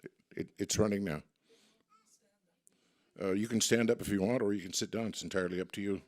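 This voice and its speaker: Low-voiced male moderator, informal, a bit stammery, keeps time on the candidates. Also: fairly even intonation, a little fast, darkish tone. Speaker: forum moderator. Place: community recreation center hall.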